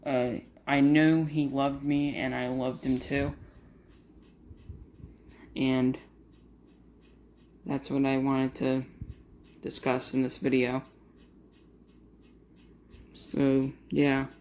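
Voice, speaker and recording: A man vlogging while grieving, his voice flat and monotone, barely moving up or down. He talks slowly and haltingly, with lots of fillers and restarts. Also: medium pitch. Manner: monotone, uninteresting voice. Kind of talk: casual talk to camera.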